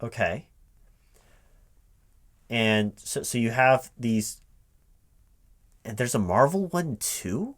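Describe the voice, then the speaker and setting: A man playing a recruiting manager in a one-sided spoken interview roleplay, halting and puzzled as he repeats things back as questions. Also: medium pitch, lively intonation.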